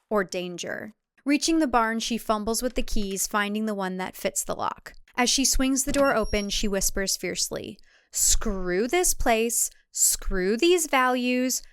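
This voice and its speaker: A woman's voice: mid-range voice, slight background noise. A woman reading scripted thriller fiction as a narrator, in a dramatic storytelling style.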